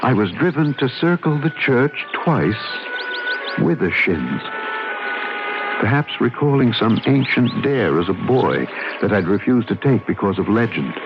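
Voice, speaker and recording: A man's voice: mid-range voice, sing-song, a little slow. An actor playing a troubled, melancholy man in an old radio drama, telling his story in brooding, literary phrases. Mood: brooding, reflective. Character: troubled, melancholy man recovering from nervous breakdown. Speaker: actor narrating and playing the lead. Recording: muffled old radio broadcast with music.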